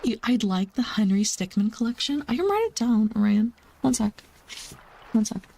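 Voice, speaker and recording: A woman livestreamer chatting casually with her viewers in a rambling, informal way, sometimes dropping into a soft near-whisper. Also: fairly high pitch, expressive, moderate pace, creaky, a little hiss or hum. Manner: chatty, rambling, calls herself Mama.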